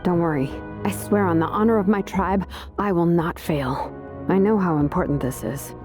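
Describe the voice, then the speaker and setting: An actress playing an earnest, dutiful craftswoman in a video game, sincere, thoughtful and unhurried. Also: fairly low pitch, music in the background, lightly acted. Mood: determined. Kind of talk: video game character acting.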